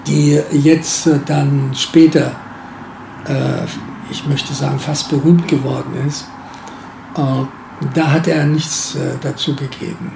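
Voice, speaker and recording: Slow gravelly older man recalling. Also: on the high side, level tone, reverberant, background noise. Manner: hesitant, many filler sounds. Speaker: former museum director. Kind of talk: interview recollection.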